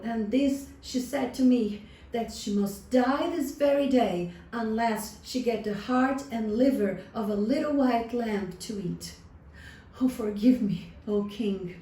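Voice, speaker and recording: A woman's voice: on the high side, warm tone, voice pushed up. Woman as earnest humble shepherd, raised voice, echoing. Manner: earnest, deferential. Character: honest, humble young-hearted male shepherd, earnest and sorrowful. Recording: distant, roomy sound with faint noise.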